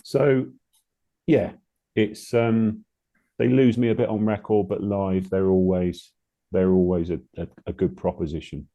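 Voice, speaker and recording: A man's voice: low-pitched, steady tone, measured pace, dark tone. A man reviewing music as a guest in a casual chat, hesitant and thinking aloud as he talks.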